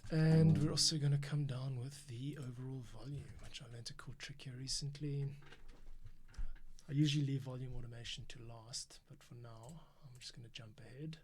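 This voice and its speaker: A man's voice: mid-range voice, fairly even intonation, moderate pace, slight background noise. A man hosting a casual music composition livestream, thinking aloud, counting beats and muttering to himself as he works.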